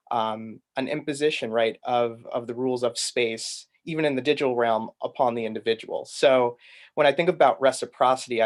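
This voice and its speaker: Searching, measured man answering a panel question. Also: medium pitch. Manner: measured, searching, with many restarts and repeated words. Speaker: poet reading panelist. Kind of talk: thoughtful spoken answer in a panel Q&A.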